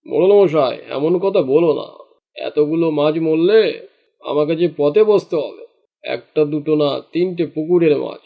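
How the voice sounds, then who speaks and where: A slightly muffled recording of a spiteful village fisherman, played by a man in a cartoon. His voice sits fairly high and he grumbles with jealous, greedy indignation. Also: normal speed, gravelly. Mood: worried. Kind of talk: cartoon dialogue acting.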